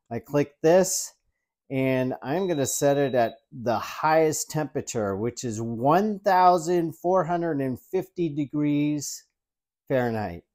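A man's voice: mid-range voice, darkish tone. A man in his forties, a tech reviewer, chatting casually through a product demo in his home kitchen on a lapel mic, with ums and restarts as he handles the device. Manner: chatty, explains while handling gadgets, frequent ums and restarts. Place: home kitchen by an open window.

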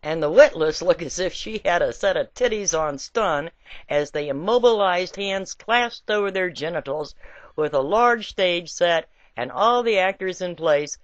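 A woman's voice: fairly low pitch, no echo. A woman reading a satirical essay aloud in a playful, theatrical storytelling manner, on a lo-fi recording. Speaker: reader of a written essay. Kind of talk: expressive scripted narration of a satirical essay. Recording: dull, lo-fi home recording.

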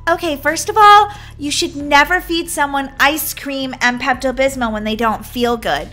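A woman's voice: high voice, normal speed, sharp tone, faint music behind. A woman playing a chatty, scolding emergency dispatcher in a children's skit, emphatic and matter-of-fact. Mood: officious. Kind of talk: playful skit role-play for children.

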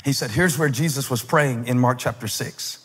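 Husky-voiced preacher in an echoing hall. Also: male voice, medium pitch, moderate pace. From United States. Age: 40s. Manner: storytelling preacher, rising to loud fervent emphasis. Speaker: pastor. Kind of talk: sermon. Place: large stage in a church hall. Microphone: handheld mic. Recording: long hall reverb.

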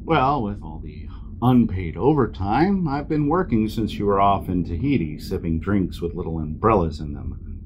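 A man's voice: low-pitched, lively intonation, normal speed, dull audio, a little hiss or hum. A man playing a blunt, sarcastic, aggrieved fighter in an audio horror drama.

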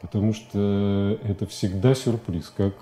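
A man in his forties with a low, very dark and soft-edged voice. He speaks as a guest in a studio interview, thinking aloud in loose, colloquial phrases that often stop and restart. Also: fairly even intonation, unhurried. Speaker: interview guest, animation filmmaker. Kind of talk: casual studio interview talk. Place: TV studio, indoors.

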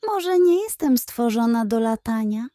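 Small young seal girl, voiced by a woman, bedtime tale. High pitch, timid, dreamy, quick to lose heart, close dry sound. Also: expressive, mellow, no room sound. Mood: anxious. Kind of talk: children's bedtime audio story.

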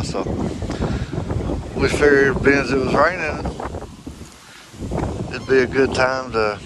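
Folksy man walking his farm. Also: medium pitch. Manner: rambling, folksy, thinking aloud with many fillers. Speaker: farm owner vlogger. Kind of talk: casual walkaround vlog. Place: outdoors on a farm. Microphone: phone held while walking. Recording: wind noise on phone mic outdoors. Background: wind and outdoor ambience.

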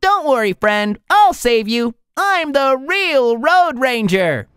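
A high, swooping cartoon voice for an eager garbage truck. The delivery is dramatic and emotional, drawn out at a slow pace, with music underneath. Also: heavily acted. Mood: heroic. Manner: excitable, dramatic. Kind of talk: children's cartoon acting.

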